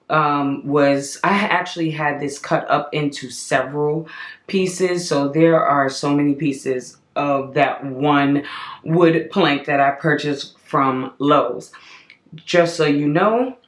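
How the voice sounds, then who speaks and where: A slightly hoarse woman hosting a casual crafting tutorial, chatting viewers through each step as she works. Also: sits low. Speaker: craft channel host.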